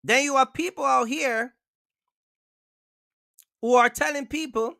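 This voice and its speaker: Male livestream host, emphatic and repetitive, bright voice, dry close sound. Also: very high voice, unhurried, vocal fry, no echo. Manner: emphatic, repetitive, keeps asking viewers for likes. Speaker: trucking channel host. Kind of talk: casual livestream rant.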